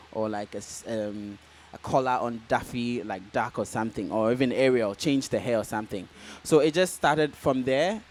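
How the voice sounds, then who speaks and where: A Ghanaian man answering interview questions in a relaxed, casual way, with many "um"s and "like"s. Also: medium pitch, a little hiss or hum. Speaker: guest fashion designer.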